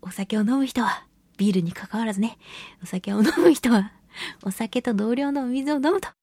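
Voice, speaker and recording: A woman hosting a casual solo radio talk show, reading listener mail in a playful, giggly, cutesy way with a husky, breathy voice. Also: fairly high pitch, moderate pace, mellow, no room sound. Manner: playful, giggly, slips into cutesy exclamations and quirky old-man phrasing. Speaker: radio show host, voice actress.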